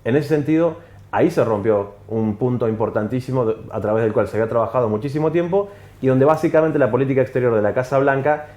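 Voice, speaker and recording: A man, a journalist guest on a TV panel, giving measured political analysis. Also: mid-range voice, darkish tone. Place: TV studio. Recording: echoey studio.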